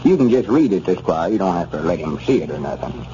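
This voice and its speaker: An actor playing a conscientious, folksy rural justice of the peace in a 1930s radio comedy, with a rural Arkansas accent and a deliberate, muttering manner. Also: male voice, sits low, big pitch swings, warm tone. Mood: busy, musing. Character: hesitant, conscientious rural justice of the peace, middle-aged or older. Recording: muffled, noisy 1930s radio recording.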